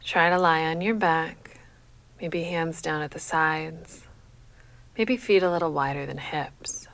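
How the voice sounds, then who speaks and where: A woman leading a guided sleep meditation as a yoga nidra guide, speaking in a measured, soothing, unhurried manner. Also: low-pitched. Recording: faint steady background noise.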